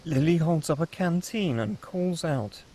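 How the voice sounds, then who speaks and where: A man narrates a script at a table read, reading stage directions. His voice sits a little high for a man, with a husky, unclear edge. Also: animated, unhurried, warm tone.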